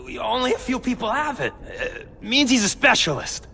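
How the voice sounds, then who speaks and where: Casting: wheedling male gangster boss for a game, high, creaky, swooping delivery, stammering. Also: big pitch swings, normal speed, bright, forceful tone, a little hiss or hum, exaggerated voice. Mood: evasive bargaining. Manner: stammering, bargaining, tacks "huh?" on questions. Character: slippery male crime boss, cornered, wheedling and blustering. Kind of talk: video game cutscene acting.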